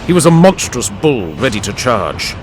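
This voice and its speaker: Lively male audiobook narrator over music. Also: medium pitch, normal speed. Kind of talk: dramatic scripted narration. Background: music and added sound effects.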